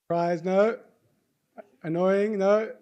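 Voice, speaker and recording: A man's voice: sits high, fairly even intonation, takes it slowly, warm tone, clean tone, lo-fi sound. A man from Australia with an Australian accent, hosting a university presentation session in a chatty way, often checking in with the audience and quizzing them. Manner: chatty, keeps checking in with "right?", quizzes the crowd. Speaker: host of a student presentation event. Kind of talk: lively event hosting before an audience. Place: university lecture hall.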